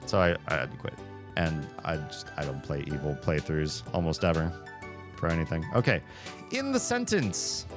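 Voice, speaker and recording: A man's voice: extremely low pitch, sing-song, moderate pace. A man from the Southern United States with a Southern accent, chatting casually on a livestream while gaming, rambling and wry. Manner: rambling, self-interrupting, wry. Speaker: streamer. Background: background music.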